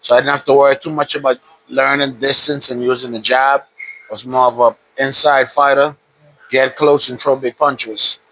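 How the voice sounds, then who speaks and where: Guy in his 50s, ex boxer, laid back storytelling w lots of uh's, bright voice. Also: mid-range voice, moderate pace, rough recording. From Bahamas. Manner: relaxed, steady storytelling with frequent "uh". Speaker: retired pro boxer, guest. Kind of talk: casual interview. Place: boxing gym.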